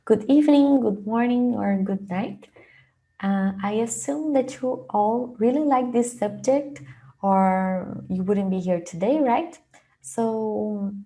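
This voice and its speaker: A young adult woman from Brazil, a native Portuguese speaker with a Brazilian accent, presenting an informal online webinar with hesitant, halting phrasing. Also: mid-range voice, dark tone. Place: small indoor room, video call.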